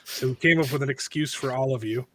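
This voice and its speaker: A man hosting a casual podcast, hoarse from a cold, rambling with restarts and joking asides. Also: medium pitch, fast talker, fairly bright tone, slight background noise. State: hoarse, losing his voice from a cold. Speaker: podcast host.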